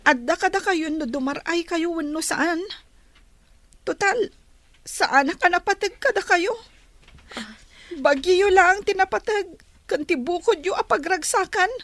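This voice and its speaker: A woman's voice: very high voice, measured pace, long pauses, fairly bright tone, no echo, exaggerated voice. A woman playing a wistful, respectful teenage schoolgirl with a Filipino accent, pleading in a radio drama. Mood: hurt, pleading. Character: wistful teenage schoolgirl longing for united parents.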